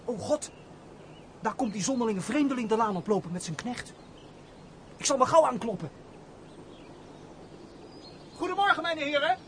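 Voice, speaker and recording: Naive, high-voiced young steward's son. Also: male voice, lively intonation, normal speed, pauses often, fairly bright tone, slight background noise. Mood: nervous. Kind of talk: radio play acting.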